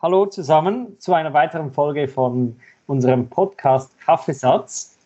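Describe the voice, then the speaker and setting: Husky man hosting a podcast. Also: on the high side, normal speed, mellow, highs cut off. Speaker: podcast host. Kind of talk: conversational interviewing.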